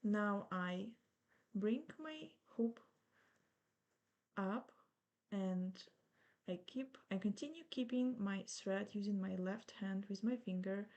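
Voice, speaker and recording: A woman from Ukraine in her thirties, speaking English with a Ukrainian accent as she patiently talks through an embroidery tutorial step by step. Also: mid-range voice. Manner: slow, patient, gently reassuring instruction. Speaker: embroidery teacher.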